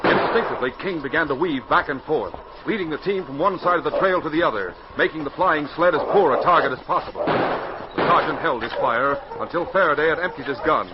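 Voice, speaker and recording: A man announcing and narrating in dramatic 1940s radio style, emphatic and carefully enunciated, with a husky voice. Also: on the high side, expressive, brisk, background noise. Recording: dull 1940s radio recording.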